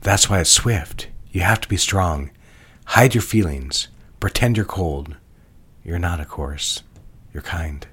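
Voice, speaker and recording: A very low, husky voice, as an actor plays it, belongs to a controlling, possessive man. He speaks slowly and softly, often close to a whisper, with quiet command in an audio drama. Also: lively intonation, full character voice. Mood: calm. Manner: slow, hushed, commanding.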